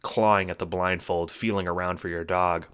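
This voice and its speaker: A man's voice: deep voice, steady tone, normal speed, a bit bright, clear, resonant tone. A man narrating a scripted second-person story in a dramatic storytelling manner. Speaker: narrator and producer. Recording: music under the voice. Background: music.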